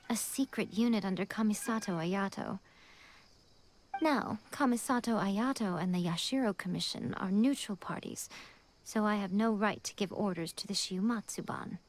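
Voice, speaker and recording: A woman's voice: medium pitch, a little hiss or hum, close to an everyday voice. A woman playing a sly, elegant shrine maiden in a video game, poised and formal, teasingly condescending as she gives orders. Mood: smug, explanatory. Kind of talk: English video game voice acting.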